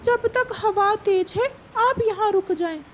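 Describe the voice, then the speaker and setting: Lady doing a loud high cartoon parrot guy, urgent and protective, slight noise. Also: unhurried. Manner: loud, insistent. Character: urgent, protective male parrot neighbour offering shelter. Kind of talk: Urdu cartoon voice acting.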